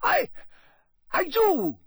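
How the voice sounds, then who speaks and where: A man's voice: very high-pitched, very animated, fairly bright tone, slightly rough, dry recording, studio quality, pitched above the performer's own voice, exaggerated voice. A man in a storybook reading, voicing an unctuous, panicky prisoner who pleads breathlessly and stammers. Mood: breathless, desperate. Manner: breathless, hurried, stammering, ingratiating.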